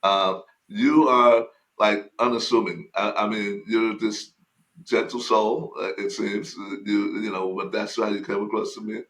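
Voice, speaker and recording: A man's voice: fairly low pitch, fairly even intonation, measured pace, fairly bright tone, low-quality recording. A man co-hosting a podcast, cheering on his guest and chatting with her in an eager, friendly way. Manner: enthusiastic, cheers and hypes the guest. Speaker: podcast co-host. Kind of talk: casual interview.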